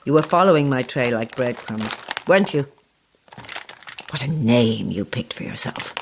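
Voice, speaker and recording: Low-voiced, sinister old witch. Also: lively intonation, slight background noise. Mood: menacing, taunting. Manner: menacing, sing-song, drawn-out taunting. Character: sinister, taunting old witch who lures and cages her guests. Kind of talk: horror audio drama acting.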